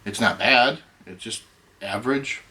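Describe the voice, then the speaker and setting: A middle-aged man hosting a casual chat, rambling with many ums, his voice going hoarse. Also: medium pitch, measured pace, pushed and bright. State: losing his voice. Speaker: host.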